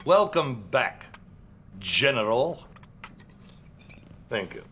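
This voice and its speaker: An actor playing a commanding Soviet premier in a video game cutscene, speaking formally and emphatically with a Russian accent. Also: male voice, medium pitch, sing-song, drawn-out words, a bit bright, slight background noise, heavily acted. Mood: resolute, confiding. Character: commanding, smoothly authoritative male Soviet premier.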